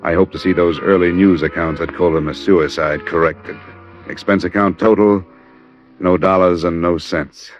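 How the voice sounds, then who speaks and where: Deep-voiced hard-boiled American radio detective, acted by a man. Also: normal speed, natural voice. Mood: grim, tense. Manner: terse, deadpan. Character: hard-boiled male insurance investigator narrating his case. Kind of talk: 1940s-50s radio crime drama narration. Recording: dull old radio recording, music behind.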